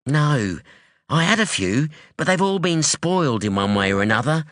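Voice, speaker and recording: Casting: man doing a blunt, defiant young woman in an audiobook, raised and lively, close dry sound. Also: a little high, moderate pace, no room sound, voice pushed up. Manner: blunt, challenging, insistent. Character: sharp, defiant young woman, street-tough daughter. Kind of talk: audiobook dialogue.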